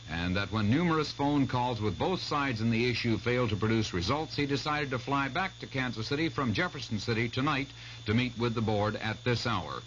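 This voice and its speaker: An American man reads a scripted local bulletin at a middle pitch. It sounds like dull old radio tape with a slight hum. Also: fairly bright tone.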